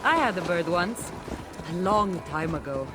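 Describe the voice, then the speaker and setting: A woman's voice: on the low side, animated, measured pace, noisy background. An actress with a Greek accent playing a wry, teasing, seasoned warrior woman in a video game. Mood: wry, curious. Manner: teasing, dry, bantering. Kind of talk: cinematic video game acting.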